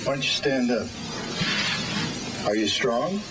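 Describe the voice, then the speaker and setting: A man's voice: medium pitch, expressive, slow, poor audio. A man interviewing someone as in a casting session, putting short probing questions and giving directions, with music playing. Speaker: off-camera interviewer in a commercial. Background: loud music.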